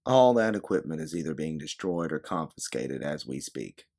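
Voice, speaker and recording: Man voicing a sarcastic fugitive woman, very animated. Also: rumbling low, normal speed, exaggerated voice. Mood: weary, explaining. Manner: blunt, sarcastic. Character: sarcastic, embittered fugitive woman time traveler.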